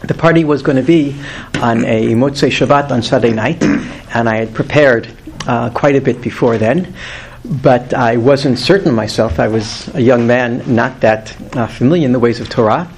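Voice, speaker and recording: A man's voice: mid-range voice, normal speed, slight background noise. A man in his fifties teaching a weekly Torah class to a group, earnest and emphatic, lifting his voice on key words. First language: not English.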